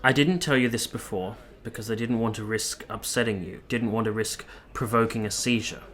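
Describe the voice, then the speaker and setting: Male actor as young officer, lowish voice, earnest, tender, measured literary phrasing, period radio play. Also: steady tone, fairly bright tone, a little hiss or hum, lightly acted. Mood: earnest, gentle. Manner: measured, sincere, literary delivery.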